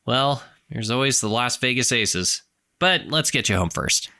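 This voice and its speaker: Casting: goofy, courtly male flirt, radio producer, bright and bouncy delivery, comedy. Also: mid-range voice, expressive, measured pace, dry recording. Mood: jolly, chummy. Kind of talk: scripted audio comedy acting.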